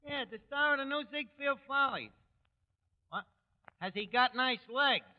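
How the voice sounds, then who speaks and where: Brash New York tavern manager. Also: male voice, very high-pitched, a little slow, a little hiss or hum. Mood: wry. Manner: wisecracking, colloquial, mangled grammar. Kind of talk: 1940s radio comedy acting. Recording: dull restored 1940s radio, hall reverb.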